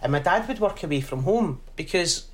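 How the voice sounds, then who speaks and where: A woman's voice: deep voice, moderate pace. Chatty Glaswegian woman in her forties. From Scotland. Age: 40s. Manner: animated storyteller who acts out other voices. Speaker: guest. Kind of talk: casual interview chat.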